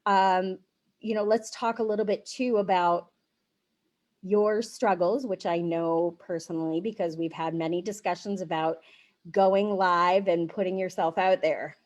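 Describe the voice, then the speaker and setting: A woman in her forties hosting a live stream interview over a video call, chatty and animated, laughing often. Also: lowish voice, a little slow. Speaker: host. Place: home room.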